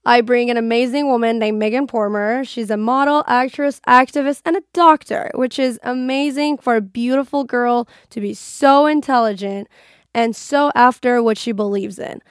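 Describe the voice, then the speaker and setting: A young woman from Iran hosting a casual interview podcast, chatty and quick to react. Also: on the high side, fairly even intonation, smooth, no room sound, clean studio sound. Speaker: podcast host.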